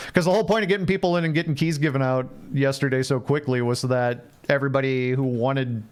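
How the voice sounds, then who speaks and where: A man's voice: mid-range voice, clear, resonant tone. Man casually commentating on a game livestream. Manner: playful, thinking aloud, repeats phrases. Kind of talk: casual live gameplay commentary, chatting with viewers.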